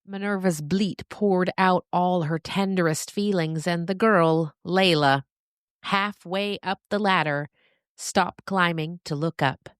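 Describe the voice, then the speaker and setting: A woman with a low voice tells a story as a podcast narrator. Her phrasing is expressive and deliberate, with dramatic pauses, in a close, dry recording. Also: smooth, no echo.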